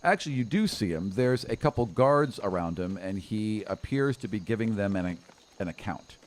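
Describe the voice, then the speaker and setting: A man's voice: fairly low pitch, expressive, normal speed. A middle-aged man casually narrating an improvised tabletop game as the game master. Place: small indoor studio at a table. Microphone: close podcast microphone on stand.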